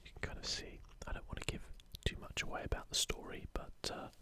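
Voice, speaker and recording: Whispered voice, ASMR commentary, unvoiced and breathy, halting and musing, unscripted host.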